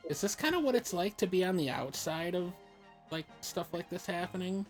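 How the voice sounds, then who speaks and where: A woman with a slightly low voice chats casually during a live video game playthrough. Faint music runs underneath her talk. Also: animated, moderate pace, a little mellow. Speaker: gamer dubbing a live playthrough. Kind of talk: casual gameplay chat.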